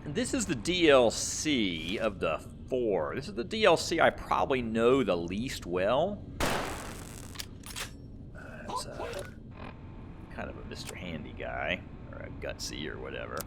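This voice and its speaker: A man's voice: lowish voice, huge pitch swings, measured pace, gravelly, background noise. A man giving casual, rambling gameplay commentary, thinking aloud in a conversational way as he plays. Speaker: gamer, let's play host.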